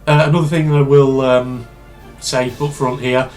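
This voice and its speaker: A man hosting a casual reaction video, rambling and chatty with lots of fillers, his voice slightly hoarse and congested from a cold. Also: mid-range voice, level tone, moderate pace, a little mellow, faint music behind.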